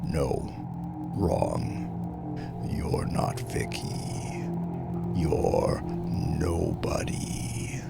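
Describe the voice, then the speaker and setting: A man's voice: rumbling low, sing-song, creaky, over loud music, heavily acted. A man voicing a cruel, menacing older male captor who speaks coldly and scoldingly in an audio horror story. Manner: slow, cold, scolding.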